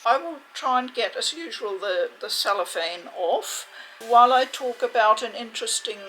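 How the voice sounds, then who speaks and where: A woman giving a casual, chatty unboxing commentary in a measured, hesitant way, with a creaky voice. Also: on the high side, expressive, fairly bright tone, low-quality recording. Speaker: video game unboxing host. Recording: faint background noise.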